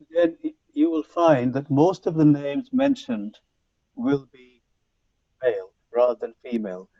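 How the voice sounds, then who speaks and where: A man whose first language is Punjabi, giving an online lecture to teachers in a measured, reflective way with frequent restarts, heard over a video call. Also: mid-range voice, vocal fry, warm tone, dry recording. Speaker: conference speaker. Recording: dull lo-fi video call audio.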